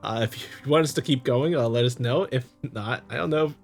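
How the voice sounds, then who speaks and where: Casting: male playthrough co-host, average pitch, bantering and teasing, relaxed conversational delivery over music. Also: a little fast, vocal fry. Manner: joking, teasing. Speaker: gaming channel co-host. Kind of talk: casual playthrough commentary. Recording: music playing behind.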